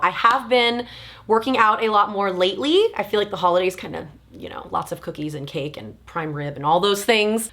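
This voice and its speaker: A woman's voice: mid-range voice, fairly bright tone. An American woman aged thirty chatting casually and confidingly to her viewers as a vlog host, with self-deprecating asides. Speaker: video channel host. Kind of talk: casual personal vlog chat to camera. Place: bedroom at home, sitting on the floor.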